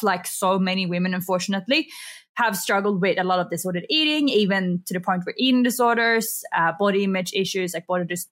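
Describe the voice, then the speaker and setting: A woman's voice: mid-range voice, a bit bright. A woman from Sweden with a Swedish accent, a binge eating coach chatting as a podcast guest, talkative and full of fillers. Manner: chatty, many fillers, laughs often. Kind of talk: casual interview chat.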